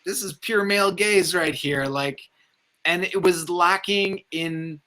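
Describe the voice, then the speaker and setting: A man's voice: high voice, takes it slowly, pushed and bright. A man talking casually about movies, opinionated and rambling, with some swearing. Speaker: film review show panelist.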